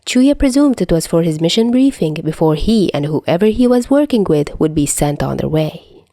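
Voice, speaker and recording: A woman's voice: fairly low pitch, animated, normal speed, mellow, no echo, studio quality. A woman narrating a story expressively, audiobook style, as a podfic reader.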